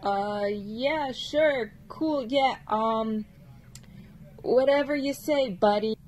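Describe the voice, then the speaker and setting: A woman's voice: on the high side, extremely slow, a little mellow, slight background noise. A woman voicing a helpless, awkward fire victim in an improvised puppet comedy. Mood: unconvinced, awkward.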